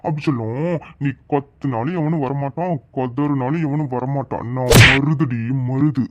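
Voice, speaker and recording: A man plays a boastful village bully who keeps making threats, speaking at an ordinary middle pitch. The recording is dry and dull, with a lo-fi edge. He sounds sure that everyone fears him. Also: unhurried, no room sound, natural voice. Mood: menacing.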